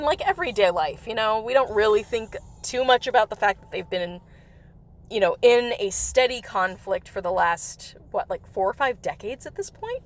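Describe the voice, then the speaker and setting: Woman, livestream host, casual rambling chat, slightly raspy voice, laughs often, mid-pitched. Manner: rambling, chatty, with frequent laughs. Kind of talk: casual chat while playing a mobile game.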